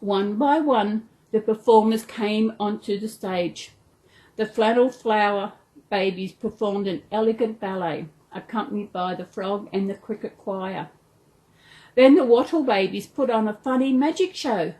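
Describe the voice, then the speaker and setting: A woman with an Australian accent reads a children's story aloud in an animated way, stumbling now and then over words. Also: mid-range voice, measured pace, low-quality recording. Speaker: storyteller, channel host.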